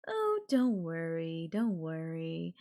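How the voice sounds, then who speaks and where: A woman voice actor playing an obsessive, possessive girlfriend in an intimate audio roleplay, with a coy, casual, drawn-out way of talking. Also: deep voice, dark tone, heavily acted. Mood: teasing, menacing. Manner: coy, drawn-out, casual filler-laden phrasing with giggles and sighs. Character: obsessive, possessive yandere girlfriend, playfully menacing kidnapper.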